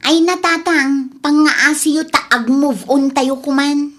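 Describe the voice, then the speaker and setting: A woman's voice: high-pitched, fairly even intonation, slow pace, a bit bright. A woman with an Ilocano Filipino accent playing a brazen, scheming, whining daughter and dismissed housemaid in a radio drama. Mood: whiny, dismissive.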